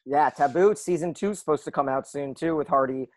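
An American man co-hosting a loose chat, eager and quick to jump in. His voice is slightly higher than usual, recorded dry with no room sound. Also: no room sound. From United States. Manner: chatty, enthusiastic about TV shows. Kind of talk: casual chat.

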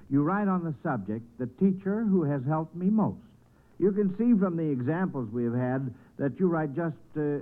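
A man hosts a vintage radio quiz for youngsters. His voice sits fairly high, with a folksy, encouraging delivery. The sound is thin and narrow, like an old broadcast through a telephone. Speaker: quiz show host. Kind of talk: 1940s radio quiz show hosting, addressing children.

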